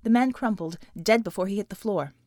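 A woman's voice: mid-range voice, fairly quick, a little mellow, no room sound, studio quality. A woman reading dramatic action fiction as an audiobook narrator, in a scripted storytelling style.

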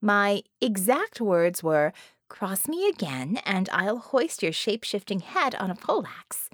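A woman's voice: medium pitch, very animated, a little slow, fairly bright tone, dry recording, studio quality. A woman voicing a haughty, cunning, bored villainess in a cage, with taunting, formal, theatrical phrasing, for an audiobook. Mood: mocking threat.

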